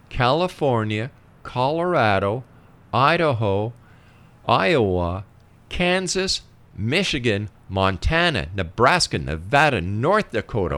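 Man, radio interview host, mid-range pitch, emphatic explainer, conversational with read-out passages. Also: fairly bright tone.

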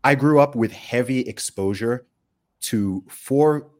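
A man's voice: mid-range voice, slow, a little mellow. A man born in the UK and raised in Saudi Arabia, hosting a conversational podcast and making emphatic, opinionated points with repeated words and checking tags. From born in the UK, grew up in Saudi Arabia. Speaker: podcast host.

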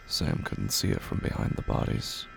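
A man reading scripted horror fiction as a narrator, in deliberate, dramatic phrases with pauses. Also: rumbling low, sing-song, gravelly, over music.

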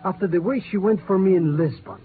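High-pitched brash little chatterbox played by a man. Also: fast talker, lightly acted. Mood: boastful, excited. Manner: breezy, slangy, excitable. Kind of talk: 1950s radio acting. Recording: narrow, noisy old radio recording.

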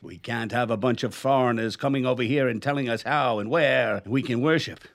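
A man's voice: medium pitch, fairly quick, creaky, close to an everyday voice. An actor playing an aging, crafty and haughty male lawyer in a radio drama, with pompous, theatrical phrasing. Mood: haughty, indignant. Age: older. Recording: music bed behind the voice.

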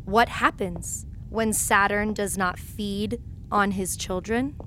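An actress playing a haughty, cruel royal villainess in a sci-fi audio drama, pompous and deliberate. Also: female voice, mid-range voice, steady tone, sharp tone, a little hiss or hum. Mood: grandly preaching.

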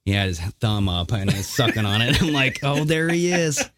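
A man's voice: medium pitch, lively intonation, fairly quick, background noise. A man hosting a podcast, telling stories in loose casual chat, swearing and laughing often. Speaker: podcast host.

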